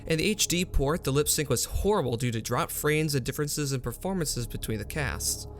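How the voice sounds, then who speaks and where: A man presenting a software update video in scripted explainer narration. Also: fairly high pitch, animated, fairly quick. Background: music.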